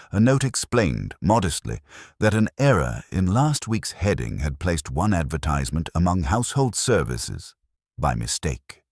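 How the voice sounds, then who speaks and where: A man reading scripted mystery fiction as narrator, in a measured, wry, literary manner. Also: extremely low pitch, slightly rough, no echo, studio quality.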